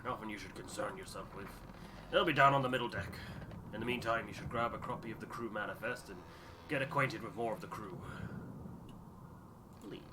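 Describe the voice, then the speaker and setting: A man's voice: on the low side, a little fast, long pauses, very noisy, close to an everyday voice. A man voicing a stern, shrewd expedition ship captain with a clipped, commanding, dry manner in a story game. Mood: businesslike.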